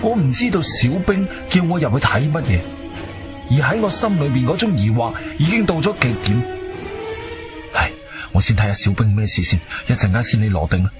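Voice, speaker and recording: A man acting as an intrepid adventurer, telling his story in expressive, suspenseful Cantonese radio-drama style. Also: mid-range voice, brisk, lightly acted. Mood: ominous, then puzzled, disappointed. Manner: expressive, suspenseful, colloquial delivery. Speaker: narrator and lead actor. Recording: background music under the voice.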